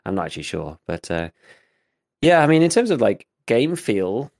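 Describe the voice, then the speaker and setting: A man co-hosting a gaming podcast in casual chat, with a slight gravel in his voice, rambling with lots of fillers. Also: mid-range voice, sing-song, moderate pace, darkish tone. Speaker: podcast co-host, gaming YouTuber.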